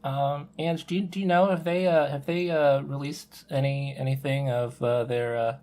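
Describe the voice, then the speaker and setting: A man's voice: mid-range voice, steady tone, moderate pace, darkish tone. A man chatting casually and jokingly in a panel conversation.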